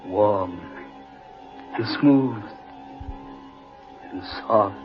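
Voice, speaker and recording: Slow, apprehensive gentleman in radio horror. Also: on the low side. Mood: uneasy. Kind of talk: 1940s radio horror acting. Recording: muffled old radio recording, faint music.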